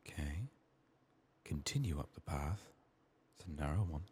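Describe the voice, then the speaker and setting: A man softly narrating his video game in an ASMR style, musing to himself in a hushed, slightly strained, under-the-weather voice. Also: very deep voice, normal speed, slight background noise. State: sick, strained voice. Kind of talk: soft-spoken, partly whispered ASMR game commentary.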